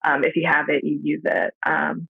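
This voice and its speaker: A woman's voice: sits low, level tone, normal speed, gravelly, husky, dry recording. An American woman from the San Francisco Bay Area, a podcast guest, talking in a reflective, rambling way with lots of fillers. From San Francisco Bay Area, USA. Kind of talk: casual interview chat. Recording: tinny phone-like dry line.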